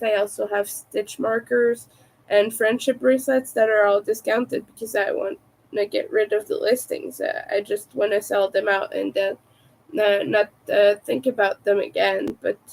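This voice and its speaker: A woman with a raspy, soft-spoken voice, sick and low on energy, chatting casually and hesitantly on a livestream. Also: poor audio. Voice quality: raspy from vocal cord damage. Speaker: livestream host, crafter.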